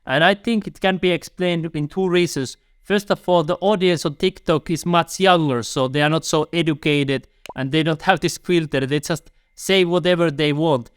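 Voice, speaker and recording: A man's voice: a little high, moderate pace, few pauses, smooth, dry recording, studio quality. Earnest Finnish-accented man giving advice. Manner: earnest, repetitive, addresses viewer as "my friend". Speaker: YouTube coach. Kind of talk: advice video to camera.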